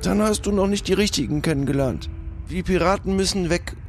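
High-voiced stammering kitchen lad played by a man. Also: fairly quick, soft background music. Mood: resentful. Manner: stammering, evasive. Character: young male kitchen intern, evasive, resentful pirate hater. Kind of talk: improvised audio adventure acting.

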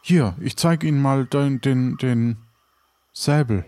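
A man playing a polite, bumbling pirate in an improvised audio play, stammering and halting as he bargains. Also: mid-range voice, mellow, dry recording, lightly acted. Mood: hesitant. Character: hungry, courteous, bumbling male pirate haggler. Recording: background noise and ambience.

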